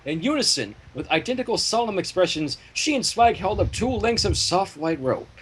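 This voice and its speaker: A man's voice: high-pitched, big pitch swings, moderate pace, fairly bright tone, husky, rough recording. A man narrating a fan fiction story as a streamer, with casual asides between passages.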